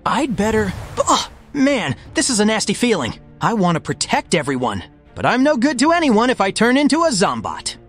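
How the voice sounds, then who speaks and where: A man plays a cocky young male hedgehog hero for a comic dub. The voice is high for a man and swoops widely with confidence, over light music. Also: wildly swooping pitch, moderate pace, heavily acted. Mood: strained, urgent.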